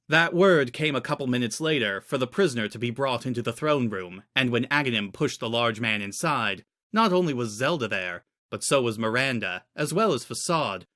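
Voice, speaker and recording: A man's voice: medium pitch, fairly bright tone. A man narrating a story in the style of a dramatic audiobook reading.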